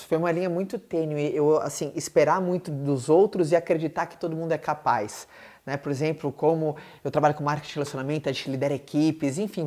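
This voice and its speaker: A man who hosts a podcast, answering questions in an energetic, emphatic motivational chat with lots of fillers. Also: high voice. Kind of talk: casual motivational talk.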